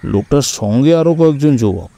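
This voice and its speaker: A man's voice: medium pitch, animated, moderate pace, vocal fry, warm tone, no echo. An actor playing an earnest, proud kite-flying man in a radio story, chatting and explaining conversationally. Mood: recounting.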